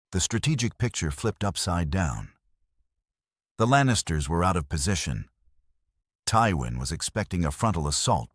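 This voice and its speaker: Very deep husky male narrator. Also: no echo, clean studio sound. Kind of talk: dramatic scripted audiobook narration.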